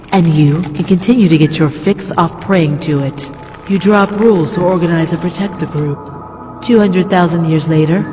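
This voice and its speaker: A man voicing a detached, inhuman god who lectures in a clinical, measured, condescending way in a motion comic. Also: high voice, darkish tone. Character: detached inhuman god entity, coldly disdainful of humans. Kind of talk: dramatic comic narration. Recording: long reverb, faint music behind.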